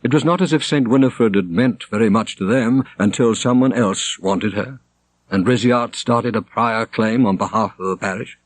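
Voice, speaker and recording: A man reading a literary story aloud as an audiobook narrator, in a theatrical, expressive manner. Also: on the low side, normal speed, rough recording. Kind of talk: dramatic literary narration with character voices.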